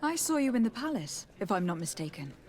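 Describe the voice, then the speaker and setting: A woman's voice: fairly high pitch, sing-song, brisk, darkish tone, slight background noise. A woman playing a poised, polite young noblewoman making enquiries in a video game. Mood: polite probing. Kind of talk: video game dialogue acting.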